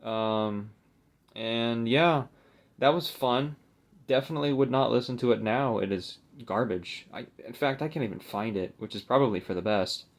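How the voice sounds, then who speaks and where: A man's voice: medium pitch, moderate pace. A young adult man chatting casually and unscripted for a video, rambling and hesitant, with a self-deprecating manner. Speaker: video creator showing a collectible. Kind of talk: casual unscripted vlog chat.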